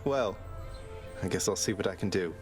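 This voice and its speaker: An actor as a low-voiced, wry young prince. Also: male voice, moderate pace, over music, natural voice. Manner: casual, dry, courteous. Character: wry young adult male prince of the underworld. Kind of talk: video game voice acting.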